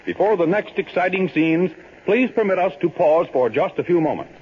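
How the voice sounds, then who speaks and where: A man's voice: high voice, expressive, moderate pace. A man working as a grand, dramatic announcer for an old-time radio drama. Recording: muffled, hissy old radio broadcast.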